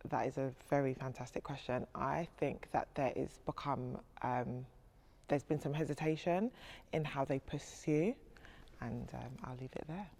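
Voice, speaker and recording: A woman's voice: very deep voice, normal speed, creaky, a little mellow. London woman in a panel chat, pushes back with questions. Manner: inquisitive, challenging. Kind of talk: casual panel discussion.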